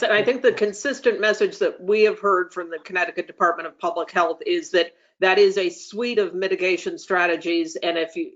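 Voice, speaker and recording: A man's voice: sits high, fairly bright tone. A man moderating an online meeting, passing questions between participants. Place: remote online meeting call. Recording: muffled video meeting audio.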